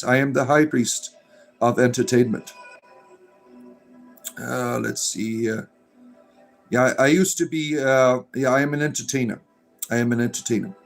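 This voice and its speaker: A middle-aged man from Florida chats with his viewers, greeting them one by one. His pitch sits in the middle of the range, and his talk wanders, slows and restarts. Faint music plays behind him. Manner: rambling, full of fillers and restarts, drawn-out narrator delivery. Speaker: livestream host. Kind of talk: casual livestream chat reading viewer comments.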